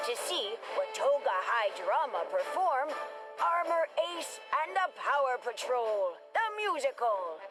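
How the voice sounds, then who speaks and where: An actress playing an enthusiastic, salesy announcer in a scripted radio advertisement. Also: female voice, high voice. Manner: peppy, salesy. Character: enthusiastic female announcer promoting a school musical.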